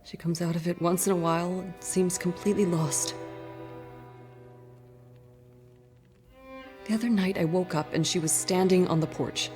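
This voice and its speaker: A woman's voice: lowish voice, fairly even intonation, brisk, dark tone, faint music behind, close to an everyday voice. Game drama casting: actress for anxious townswoman keeping vigil, earnest and grave, natural delivery, prominent score behind. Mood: worried. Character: worried townswoman keeping vigil over a sick loved one. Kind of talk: cinematic video game drama acting.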